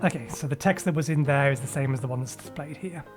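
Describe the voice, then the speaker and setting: A man hosting a let's-play, talking through his game in a rambling, casual way with lots of ums, his voice a little raspy from a recent cold. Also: mid-range voice, fast talker, over music. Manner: rambling, self-correcting with frequent ums. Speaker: gaming channel host.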